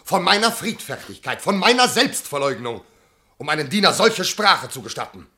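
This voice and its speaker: A man's voice: a little high, big pitch swings, normal speed, pushed and bright. A man playing an imperious, exasperated master in a classical verse radio comedy, declaiming with indignation and disbelief. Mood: strained patience. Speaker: actor.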